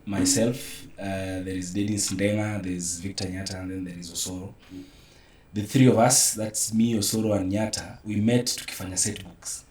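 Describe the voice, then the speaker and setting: A man's voice: lowish voice, fairly even intonation, normal speed, darkish tone. A man with a Kenyan accent chatting casually as an interview guest. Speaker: theatre producer, interview guest. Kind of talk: casual interview chat mixing English and Swahili. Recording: roomy echo, distant microphone.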